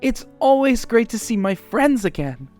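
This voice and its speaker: A woman acts out a young male changeling prince in an audio drama. The voice is fairly high and lively, with a friendly, polite, easygoing manner. Music sits softly underneath. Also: normal speed. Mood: cheerful.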